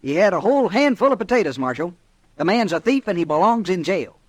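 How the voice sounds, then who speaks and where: Indignant frontier shopkeeper, highish voice, wide swings, dry studio, radio drama. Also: male voice, lively intonation, fast, no room sound. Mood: angry. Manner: blustering, insistent. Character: indignant, vindictive small-town western storekeeper. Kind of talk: old-time radio western acting.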